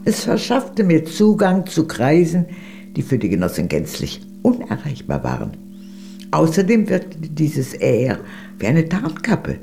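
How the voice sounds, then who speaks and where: An actress playing a proud, worldly, aristocratic old former Soviet spy in a German radio drama, with a grand, teasing, theatrical manner. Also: female voice, rumbling low, vocal fry, warm tone, full character voice.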